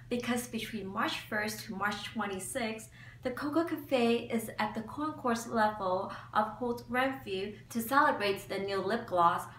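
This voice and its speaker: Upbeat young woman doing a beauty vlog, slightly high voice, roomy sound with faint hum behind. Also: a bit bright, far from the mic. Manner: cheerful, enthusiastic presenter. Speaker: beauty vlogger. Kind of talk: upbeat scripted vlog narration. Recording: echoey room, mic set back, faint hum.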